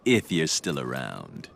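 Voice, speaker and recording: A man's voice: rumbling low, gravelly, a bit bright, breathy edge, exaggerated voice. An actor playing a smug, vain TV race show host in a game, theatrical and mocking as he announces. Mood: demanding, disdainful. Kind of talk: showy sports broadcast announcing. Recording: music behind the voice.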